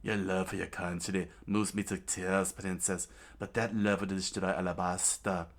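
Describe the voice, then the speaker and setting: A man's voice: low voice, flat delivery, normal speed. A man playing a smug, gloating villain. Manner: gloating, drawling and cold. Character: smug scheming male villain, middle-aged.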